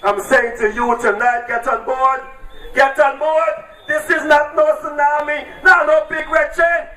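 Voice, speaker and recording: A man with an Antiguan accent shouting a political campaign speech at an outdoor rally, hammering repeated rallying cries. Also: very high voice, fairly even intonation, a little slow. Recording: echoey, distant, loud crowd.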